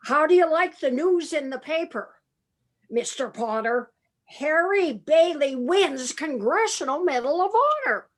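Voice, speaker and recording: A woman playing a scatterbrained, excitable older man in a radio play, theatrical and exclaiming. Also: high voice, measured pace. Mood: cheerfully gloating. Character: scatterbrained, excitable older Uncle Billy, male bank depositor. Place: home, over video call.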